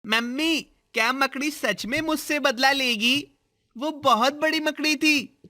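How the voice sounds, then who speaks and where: A man acts out a small cartoon boy who is scared of spiders and keeps pleading with his mother. The voice is very high and sharply bright. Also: measured pace, heavily acted. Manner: pleading, fearful. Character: timid seven-year-old boy afraid of spiders. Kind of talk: cartoon voice acting.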